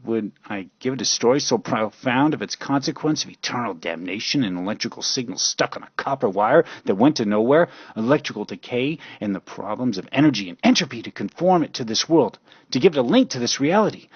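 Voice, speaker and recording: A man's voice: lowish voice, slightly rough, no echo, muffled recording. A man narrating a first-person radio story in a theatrical, brooding manner. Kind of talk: dramatic first-person fan fiction narration.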